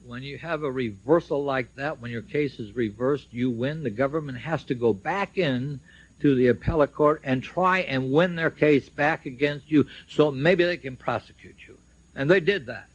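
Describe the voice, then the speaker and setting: Older male speaker, husky and unhurried, emphatic public storytelling on a dull lo-fi radio recording. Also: medium pitch, no echo. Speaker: speaker at a symposium, recounting his own legal case. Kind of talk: public speech, personal storytelling.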